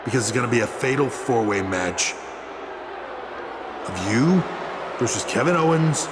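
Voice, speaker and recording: Man acting as arrogant wrestling boss, mid-pitched, condescending, threatening, video game cutscene, very loud arena noise. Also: animated, unhurried, lightly acted. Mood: smug, commanding. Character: domineering male corporate wrestling boss, menacing and arrogant.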